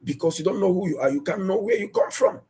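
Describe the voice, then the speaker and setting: A man preaching a sermon emphatically, repeating rhetorical questions and jumping into sudden high bursts of emphasis. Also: quick pace, dark tone, husky.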